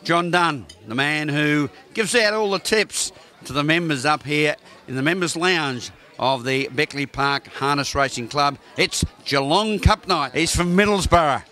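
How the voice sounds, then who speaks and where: An Australian man hosting local television with folksy, effusive enthusiasm. His voice sits a little high and sounds bright, and he teases his guests. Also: unhurried. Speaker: TV presenter. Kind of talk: local TV presenting and interviewing. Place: racecourse pavilion lounge.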